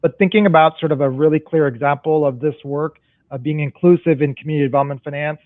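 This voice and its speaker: A man's voice: a little high, steady tone, fast, dry recording. A man with a somewhat raised voice explains banking at length, circling back with restarts and "right?". His audio is dry and boxy, squeezed into a narrow phone-like band, as if heard over a remote call. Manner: explanatory, many restarts and tag questions. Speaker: panel guest, community development banker. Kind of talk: panel discussion answer.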